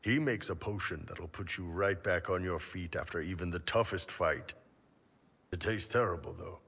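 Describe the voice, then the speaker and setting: An actor playing a big, horned mercenary captain, easygoing and wryly amused, in a fantasy video game. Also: male voice, deep voice, moderate pace, fairly bright tone, breathy edge, heavily acted. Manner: laid-back, wry, amused. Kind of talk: video game dramatic acting.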